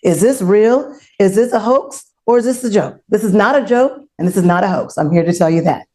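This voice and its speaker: A woman's voice: medium pitch, a little fast, darkish tone. A woman co-hosting a conversational panel show, emphatic and direct with the audience, repeating phrases for effect. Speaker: panel co-host.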